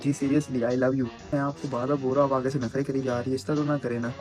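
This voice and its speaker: A young man pleads and insists at a rapid clip. His voice is fairly low-key in pitch for banter, and he keeps repeating himself as a prank caller, with music playing behind him. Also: flat delivery, darkish tone. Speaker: prank caller and show host. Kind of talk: prank phone call banter.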